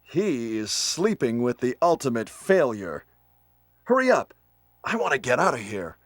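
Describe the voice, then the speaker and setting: A stiff young agent hero. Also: male voice, medium pitch, a little slow. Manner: stiff, flatly delivered lines. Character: brave young male special-forces agent. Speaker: game character. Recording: music behind the voice.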